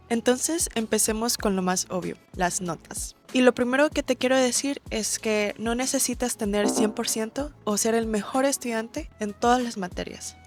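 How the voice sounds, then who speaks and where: A young woman, giving friendly, direct advice to camera in a vlog and dropping in English words. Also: a little high. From Ecuador. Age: young adult. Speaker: vlogger.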